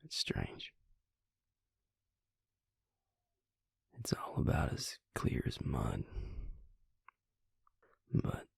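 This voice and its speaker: A man playing a brooding vampire maker in an intimate audio roleplay, speaking haltingly and reflectively in a husky, gravelly whisper. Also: no room sound, clean studio sound, heavily acted. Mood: pensive. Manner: halting, reflective, low-key. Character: brooding male vampire maker confiding in his mate.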